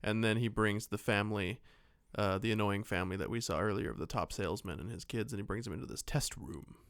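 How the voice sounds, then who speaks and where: A man's voice: lowish voice, fast talker, vocal fry, smooth, dry recording, studio quality. A man co-hosting a podcast, giving a casual, joking film recap in a rambling, loose-tongued way. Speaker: podcast co-host.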